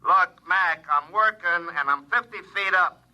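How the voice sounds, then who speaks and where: An actor playing a gruff, irritated young lineman heard through a telephone filter in a 1950s radio drama. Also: sits high, measured pace, bright, forceful tone, highs cut off, exaggerated voice. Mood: irritated, puzzled. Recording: thin, band-limited telephone sound.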